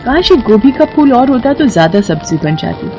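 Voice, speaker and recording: A woman voicing a caring, worried poor village mother, tender and earnest, in an animated moral story with music behind. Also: fairly high pitch, normal speed. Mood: amazed.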